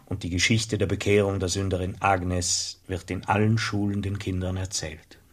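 An actor playing a formal, solemn bishop who welcomes a famous monk in a radio play. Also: male voice, sits low, level tone, moderate pace. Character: dignified provincial bishop, formal and flattering.

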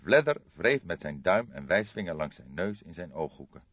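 A man's voice: low-pitched, very animated, normal speed, a bit bright, husky, dry recording, dull audio. A man reading a detective novel aloud as scripted audiobook narration.

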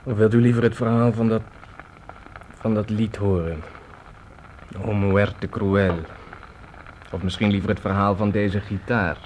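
A deep-voiced, world-weary Spanish man acted out by a man in a radio drama, haunted by his memories. He speaks theatrically and haltingly, with brooding weight, over clear background noise. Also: fairly even intonation, darkish tone, close to an everyday voice. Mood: wry, teasing. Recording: noisy radio drama recording.

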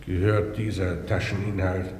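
A middle-aged police inspector in a 1950s radio drama. His voice sits fairly low, reserved and measured as he questions methodically. The recording has long reverb and a faint hiss. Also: little pitch movement, darkish tone. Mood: methodical, dry. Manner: laconic, measured, dry. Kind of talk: 1950s German radio play acting.

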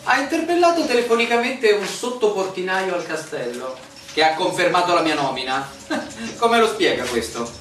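A distant, noisy recording of an insistent outsider surveyor in a radio play. He speaks formally and ironically, with theatrical delivery, in a high male voice. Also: big pitch swings, normal speed, a bit bright. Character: insistent young male land surveyor, a stranger challenging officials. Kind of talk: stage-style radio drama acting. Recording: noisy echoey room, far from mic.

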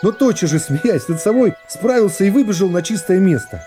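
Mellow male radio narrator over guitar music. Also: sits high, expressive, a little fast. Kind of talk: literary narration in a radio play. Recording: music behind the voice. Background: plucked guitar music.

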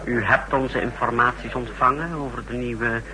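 An actor playing an ambitious, self-assured secret police chief in a formal, clipped manner in a 1960s radio drama. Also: male voice, mid-range voice, steady tone, moderate pace, a crowd in the background, close to an everyday voice. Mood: smug, pointed. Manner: formal, clipped military address. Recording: noisy 1960s radio play recording.

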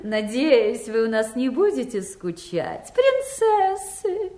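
A woman's voice: on the high side, sing-song, takes it slowly, warm tone, reverberant. An actress playing a widowed queen in a fairy-tale audio play, speaking in a stately, ceremonious way. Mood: gracious, theatrical. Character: widowed queen, high-voiced, ceremonious hostess.

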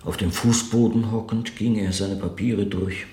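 A man delivering measured, scripted radio drama narration, with some phrases repeated. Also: fairly low pitch, fairly even intonation, warm tone. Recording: roomy, echoing sound.

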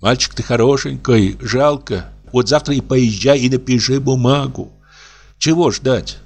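A man's voice: medium pitch, measured pace, creaky, darkish tone, pitched above the performer's own voice. A man doing a fretful old peasant woman. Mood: pleading. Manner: pleading, sighing, fretful.

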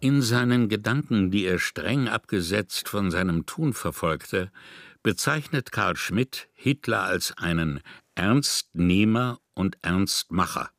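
A man with a low voice reads literary essay prose. He is measured and formal, and the sound is dry, with no room around it. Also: lively intonation, a bit bright, dry recording. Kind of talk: scripted literary essay narration.

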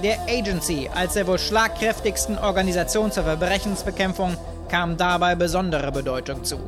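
Actor as grandiose, high-pitched male narrator. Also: moderate pace, lightly acted. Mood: solemn, bombastic. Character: solemn, bombastic pulp horror narrator. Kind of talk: scripted dramatic narration. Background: music.

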